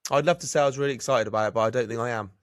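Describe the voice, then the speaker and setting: Fast-talking British man, dry close mic. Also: medium pitch, no room sound. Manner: quick, blunt, swears, asks back with "right?". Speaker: podcast co-host. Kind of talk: casual business chat.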